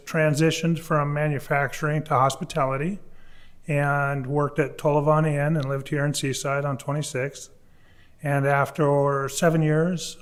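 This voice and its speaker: A man in his sixties from Oregon, a council candidate plainly recounting his background at a forum. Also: a little high. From Oregon, USA.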